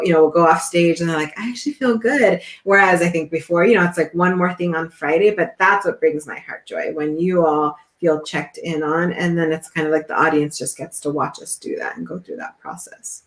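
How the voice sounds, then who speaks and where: A woman's voice: fairly low pitch, roomy sound. A woman hosting an online show who talks to her guest and audience in an upbeat, reassuring way. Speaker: host. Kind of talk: casual interview chat.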